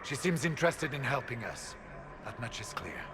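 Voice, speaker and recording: A man's voice: medium pitch, big pitch swings, normal speed, background noise, natural voice. A man playing a grave, dutiful warrior in a video game, speaking formally and seriously. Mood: grave, intent. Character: grave, dutiful male warrior of an ancient order. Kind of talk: dramatic video game voice acting.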